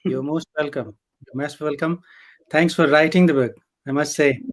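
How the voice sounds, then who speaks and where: A man hosting a program, introducing a guest author in a slow, earnest, admiring way. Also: a little high, steady tone, poor audio. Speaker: host.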